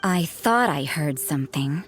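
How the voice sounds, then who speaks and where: An actress playing an aloof, cynical, suspicious nun in a video game, with a dry, deadpan, sardonic delivery. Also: female voice, low-pitched, measured pace, very noisy. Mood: cool, suspicious.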